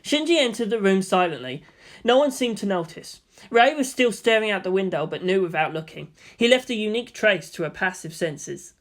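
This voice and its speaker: A woman narrates a written story. Her voice sits at a medium pitch, and she delivers the scripted prose as a storyteller. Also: expressive, fairly quick.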